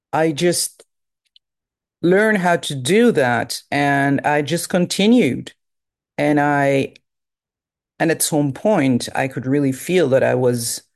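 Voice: mid-range voice, darkish tone. A speaker in their fifties with a French accent, a native French speaker, giving a candid and reflective personal testimony. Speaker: recovery group member.

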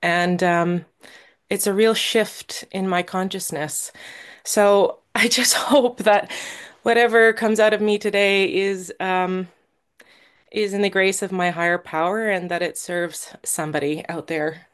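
A woman speaking as the guest at a recovery meeting, sharing her own story in a halting, earnest way with many pauses and restarts, and a little nervous. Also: medium pitch, fairly even intonation, clean tone. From Canada. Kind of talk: personal recovery share.

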